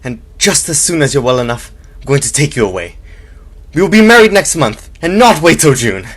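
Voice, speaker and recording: A man playing an earnest, protective young fiancé in a radio drama, with a husky voice and urgent manner. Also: on the high side, big pitch swings, a little fast, a bit bright, heavily acted. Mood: tender, determined.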